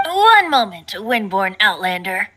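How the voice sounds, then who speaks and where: A woman's voice: medium pitch, very animated, a little slow, sharp tone, exaggerated voice. A woman voicing a youthful male wind god posing as a playful, wistful bard in an English game dub, with lyrical, theatrical delivery. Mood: sage, gentle. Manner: lyrical, theatrical, slips into rhyme.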